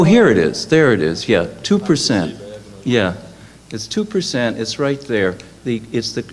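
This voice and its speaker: A man speaking on a panel, halting and restarting as he searches his slides aloud. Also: medium pitch. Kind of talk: lecture with slides. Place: lecture hall. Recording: reverberant hall, faint noise.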